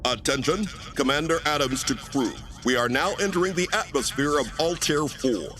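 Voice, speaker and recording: An actor playing a stern, authoritative spaceship commander giving clipped, formal orders in an old-time radio sci-fi play. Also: male voice, fairly high pitch, moderate pace, background noise, natural voice. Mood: official.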